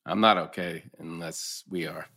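A man's voice: low voice, fairly even intonation, moderate pace, sharp tone. A man acting an earnest, reassuring middle-aged husband in a TV drama table read.

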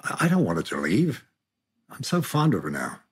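A man playing a proud, wealthy older father, grudgingly tender, in a drama table read, with a husky voice. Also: on the low side, wildly swooping pitch, moderate pace, full character voice. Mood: troubled.

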